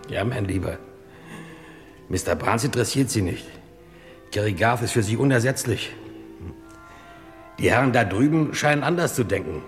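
An actor playing a frank, sardonic sidekick in an old-style crime radio play, speaking in a wry, theatrical way. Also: male voice, on the low side, expressive, normal speed, long pauses, natural voice. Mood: wry, needling. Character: sardonic sidekick, frank and mock-respectful toward his boss. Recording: music behind the voice.

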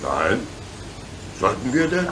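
An easygoing, fatherly engine driver in a children's radio play. The delivery swings freely in pitch and is reassuring, with thoughtful pauses. Clear noise sits behind the voice. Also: wildly swooping pitch. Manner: easygoing, avuncular, hesitant pauses. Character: jovial, reassuring adult male locomotive driver. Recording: noisy background with hiss.

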